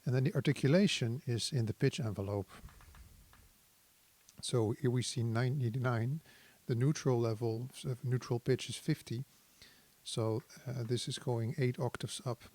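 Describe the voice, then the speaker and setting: A man giving a casual technical tutorial, thinking aloud in a hesitant, halting, analytical way. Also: mid-range voice, darkish tone. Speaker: synthesizer demonstrator.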